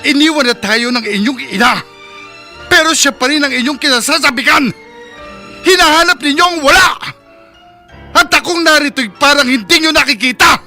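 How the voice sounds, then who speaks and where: A man's voice: very high-pitched, normal speed, bright, forceful tone, soft background music, full character voice. An actor playing a homesick middle-aged father working overseas in a radio drama, with a Filipino accent. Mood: hurt, pleading.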